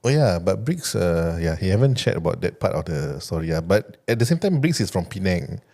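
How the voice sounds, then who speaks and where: A Singaporean podcast host with a Singaporean English accent and a very deep voice. A gravelly edge runs through his speech. He asks questions haltingly, with plenty of "like" and "um". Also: big pitch swings, mellow. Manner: hesitant, many fillers like "like" and "um". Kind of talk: casual interview conversation.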